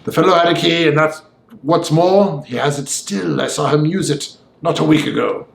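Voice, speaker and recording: A man voicing an opinionated young gentleman in an audiobook, talking in a formal, emphatic, discursive way. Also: a little high, moderate pace, creaky, roomy sound, pitched above the performer's own voice. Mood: defensive. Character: opinionated, talkative young Victorian gentleman, Mister Enfield. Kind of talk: audiobook character voice.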